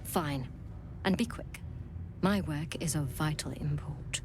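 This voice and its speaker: A cold alien woman physician from a fantasy game. Her voice is low and unhurried, each word dry and precise with haughty curiosity, set over clear music. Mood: curt, disdainful.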